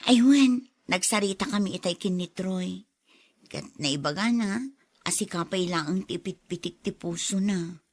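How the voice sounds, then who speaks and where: A woman's voice: lowish voice, unhurried, slight background noise, close to an everyday voice. An actress playing a candid, concerned young female friend in an audio drama, with an Ilocano Filipino accent. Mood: sympathetic.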